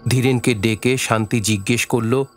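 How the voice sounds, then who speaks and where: Male narrator for a radio play: medium pitch, measured literary storytelling, faint music underneath. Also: fairly even intonation. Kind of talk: scripted story narration for audio drama.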